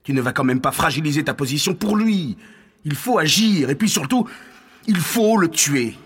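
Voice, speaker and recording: A scheming Roman counsellor in a long, echoing hall. His voice is husky and slightly high, and he presses his case insistently, as in serious audio fiction. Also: animated, normal speed, a bit bright, full character voice. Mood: insistent, indignant. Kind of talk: French audio fiction acting.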